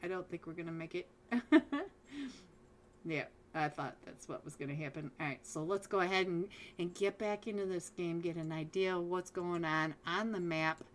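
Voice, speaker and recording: Lady casting a mobile esports match live, voice a bit hoarse, chatty and talking right to viewers, light hiss behind. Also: on the low side, fairly even intonation, moderate pace. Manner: chatty, talks straight to viewers, frequent restarts. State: losing her voice. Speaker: esports caster. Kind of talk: live game commentary.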